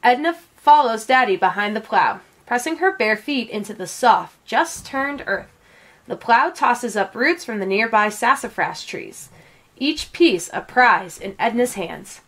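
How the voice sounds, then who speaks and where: A woman reading a children's story aloud in a measured, expressive storytelling manner. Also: a little high. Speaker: read-aloud reader.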